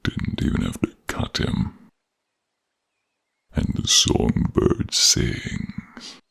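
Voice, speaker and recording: A man playing a menacing, ambitious villain in an audio drama, taunting a captive slowly and theatrically. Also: a bit bright. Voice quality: gravelly, very low. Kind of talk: dramatic audio role-play acting.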